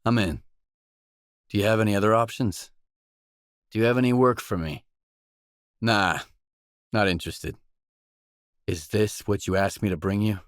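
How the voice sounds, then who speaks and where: Casting: male actor for pragmatic wasteland mercenary in a video game; lowish voice, dry close recording, restrained and matter-of-fact. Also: moderate pace, pauses often, smooth, no room sound, natural voice. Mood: businesslike, matter-of-fact. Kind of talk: video game character dialogue.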